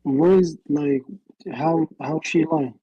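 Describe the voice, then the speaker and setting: A man's voice: fairly high pitch, dark tone, slightly rough, dry recording. Hesitant man on a lo-fi line. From Algeria. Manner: hesitant, halting. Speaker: caller.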